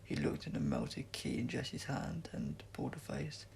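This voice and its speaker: A man's voice: medium pitch, wildly swooping pitch, normal speed, vocal fry, a little mellow, in a whisper. A man reading an adventure story aloud as a dramatic audiobook narration. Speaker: audiobook reader.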